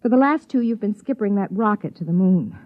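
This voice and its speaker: An actress playing an anxious, jealous young wife in a 1950s radio drama, earnest and dramatic, on an old muffled recording. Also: female voice, mid-range voice, expressive, fast, close to an everyday voice. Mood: worried, insistent.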